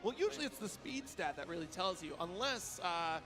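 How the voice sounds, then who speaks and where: A man's voice: high voice, sing-song, a little fast, over music. A man giving bantering commentary on a fighting game tournament as an esports caster.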